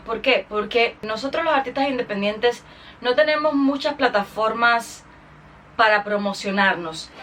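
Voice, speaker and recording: A woman's voice: fairly high pitch, a bit bright, far from the mic. A woman with a Cuban accent hosting her podcast in a chatty, informal monologue to her followers. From Cuba. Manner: chatty, informal, with English interjections. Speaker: podcast host and singer.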